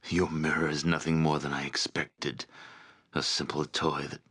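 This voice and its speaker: Fantasy game chieftain: very deep and rough-voiced, domineering, scornful, with the menace of a vengeful dragon spirit. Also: male voice, level tone, fairly bright tone, exaggerated voice. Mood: scornful, commanding. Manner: imperious, measured, contemptuous. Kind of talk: dramatic video game voice acting.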